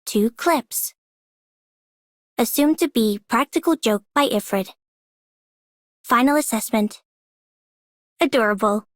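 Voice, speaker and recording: Slow, deadpan robotic girl. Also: a little high, no echo. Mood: deadpan, clinical. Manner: clipped, deadpan, robotic phrasing. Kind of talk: game character voice line.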